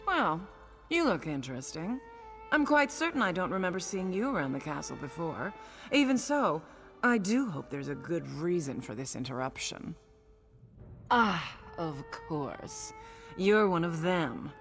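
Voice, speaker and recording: A haughty pirate queen from a fantasy game. Her lowish voice swings widely and theatrically, full of disdain and irritation. Music plays behind her. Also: sing-song, unhurried, a bit bright, exaggerated voice. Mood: haughty, curious. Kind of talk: scripted video game monologue.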